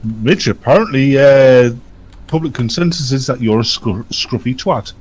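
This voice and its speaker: A man's voice: mid-range voice, expressive, unhurried, low-quality recording. A man in casual banter on an online voice call, with some noise on the line.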